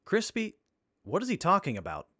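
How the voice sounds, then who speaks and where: An earnest, anxious young man played by an actor in a radio comedy. His voice is a bit high and bright, swinging widely in pitch. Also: wildly swooping pitch, normal speed, slightly rough, no room sound, studio quality, heavily acted. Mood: anxious, insistent. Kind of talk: comedy audio drama acting.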